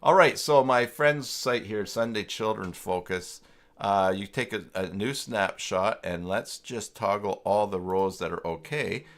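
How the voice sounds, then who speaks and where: Low-voiced man hosting a casual tech stream. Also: fairly bright tone. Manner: halting, informal, thinking aloud. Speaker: livestream host demonstrating website tools. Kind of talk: casual live software tutorial, chatting with viewers.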